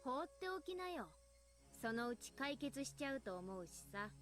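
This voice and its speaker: A woman's voice: high-pitched, sing-song, fast, fairly bright tone, faint music behind. A woman voicing a cold, menacing teenage girl in a Japanese visual novel, creepy and pointed in manner. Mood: cold commanding.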